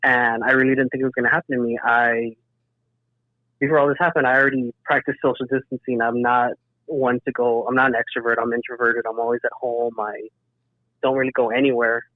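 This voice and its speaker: A man's voice: medium pitch, flat delivery, brisk, no room sound. Man on a thin phone-like line. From south Texas, United States. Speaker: interview guest, emergency dispatcher. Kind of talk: casual personal account in a podcast interview. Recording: thin phone-like band-limited sound.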